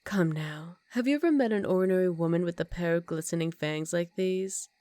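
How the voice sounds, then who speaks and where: Low, teasing vampire countess acted by a woman. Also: dark tone, dry recording, close to an everyday voice. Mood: seductive, coaxing. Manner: slow, teasing, condescending, with breathy half-whispers. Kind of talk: ASMR roleplay.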